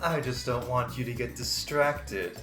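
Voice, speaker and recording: Woman acting a brazen temptress, extremely low pitch, teasing, unhurried, comic story reading. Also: a bit bright. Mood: flirtatious. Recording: roomy echo, music behind.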